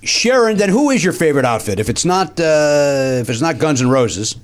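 Raspy-voiced man hosting a comedy chat. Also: a little high, big pitch swings, normal speed. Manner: jokey, breaks into bits and impressions. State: raspy voice today. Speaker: podcast host.

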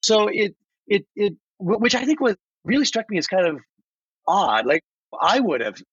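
A man's voice: high voice, big pitch swings, moderate pace, fairly bright tone, husky. A man chatting animatedly as a podcast guest, emphatic and given to acting out bits of dialogue. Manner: emphatic, acts out quoted dialogue. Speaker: podcast guest who voiced a chatbot script. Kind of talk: animated conversational discussion. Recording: roomy, slightly dull lo-fi sound.

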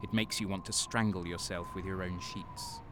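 A man playing a jaded guardsman who narrates his war with dark relish in brooding, deliberate first-person storytelling. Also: deep voice, fairly even intonation, noisy background, natural voice. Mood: grim, wry.

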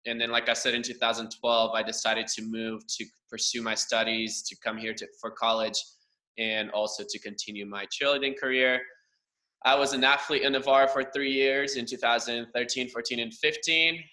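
A man's voice: medium pitch, steady tone, normal speed, sharp tone, poor audio. A young man from Romania, a native Romanian speaker, telling his story as a guest in a casual interview. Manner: earnest, thankful, long winding answers. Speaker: guest, cheer assistant coach. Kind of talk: casual interview over a livestream.

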